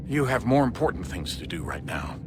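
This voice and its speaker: A man's voice: mid-range voice, big pitch swings, a little fast, close to an everyday voice. An actor playing a middle-aged father in a video game, stern and demanding, talking curtly to his son. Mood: cold scolding. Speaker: father of the lead character. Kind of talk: video game cutscene dialogue. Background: music.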